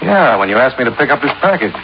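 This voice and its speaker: An actor playing a wry, watchful insurance investigator in a 1950s style radio detective drama. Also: male voice, mid-range voice, sing-song, fast. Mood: concerned. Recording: noisy, dull old radio recording.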